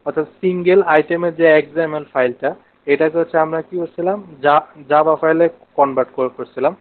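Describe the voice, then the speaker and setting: A man with a Bangladeshi accent teaching a live online coding lesson step by step, mixing in English technical terms. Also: fairly high pitch. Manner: explains step by step, asking questions of students. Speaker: programming instructor. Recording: narrow phone-like online class audio.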